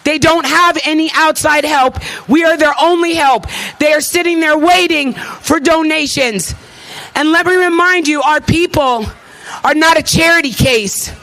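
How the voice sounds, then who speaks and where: A woman's voice: high-pitched, measured pace, a bit bright, low-quality recording. A woman in her thirties giving an impassioned, emphatic rally speech as an activist, with repeated phrases and emotion in her delivery. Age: 30s. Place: at a rally before an audience.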